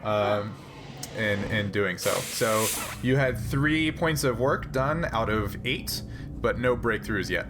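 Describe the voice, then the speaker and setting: A man's voice: fairly low pitch, animated, slow, background noise. A man acting as game master for a tabletop sci-fi game, explaining things casually and a bit hesitantly.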